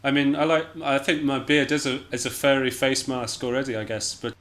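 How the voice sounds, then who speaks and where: A man's voice: mid-range voice, level tone, a little fast, fairly bright tone, a little hiss or hum. A man from Scotland chatting casually as an interview guest, in a hesitant, understated and self-deprecating way. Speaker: interview guest, jazz pianist and musician.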